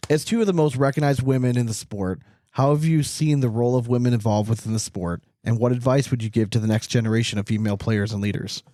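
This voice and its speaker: Male podcast host, very quick pace, close dry sound, slangy and informal. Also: mid-range voice, dark tone, dry recording. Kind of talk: casual podcast chat.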